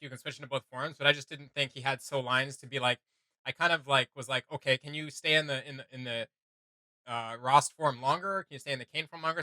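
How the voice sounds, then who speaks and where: A man gives his casual take as a reaction host. His voice is strikingly bright and forceful at a middling pitch, recorded dry, and he rambles along as he thinks out loud. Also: dry recording.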